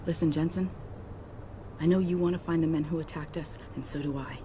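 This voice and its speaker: An actress playing a confident, friendly young company pilot in a video game, speaking casually and frankly. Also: female voice, deep voice, fairly quick, warm tone, slight background noise, natural voice. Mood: candid, supportive.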